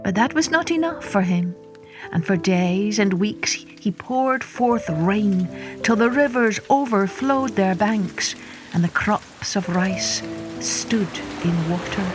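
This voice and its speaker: A woman narrates like a storybook host, expressive and carefully measured. Her delivery is very slow, with a medium-pitched voice. Music plays clearly behind her.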